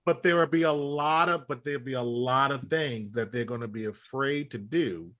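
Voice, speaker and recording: Halting man pressing a point. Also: medium pitch, a bit bright, no echo, studio quality. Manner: halting, repeats himself while trying to make a point. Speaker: podcast co-host. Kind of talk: casual chat.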